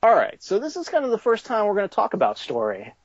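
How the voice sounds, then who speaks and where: A man's voice: high voice, wildly swooping pitch, quick pace, no room sound, highs cut off. A man being interviewed about his toy company, talking in a casual, conversational way.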